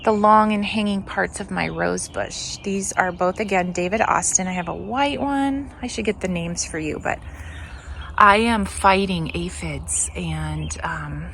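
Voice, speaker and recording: A woman's voice: lowish voice, unhurried, gravelly, a bit bright, a little hiss or hum. A woman hosting a gardening vlog, chatting casually and musing as she shows her garden. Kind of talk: casual garden tour chat.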